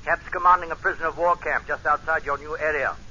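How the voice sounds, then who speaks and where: Sharp, bluff British general. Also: male voice, fairly high pitch, steady tone, fast, muffled recording. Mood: bluff, concerned. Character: bluff, apologetic British army general on the telephone. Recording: band-limited, noisy old radio broadcast.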